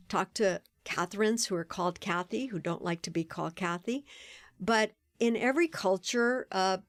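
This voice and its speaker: A woman guest on a podcast interview, telling stories in an animated way and laughing often. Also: mid-range voice, dry recording. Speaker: guest, playwright and performer.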